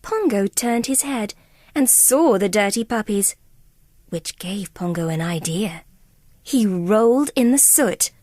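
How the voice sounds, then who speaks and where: A woman reading a children's storybook aloud as narrator, in an expressive, unhurried way. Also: mid-range voice, dry recording.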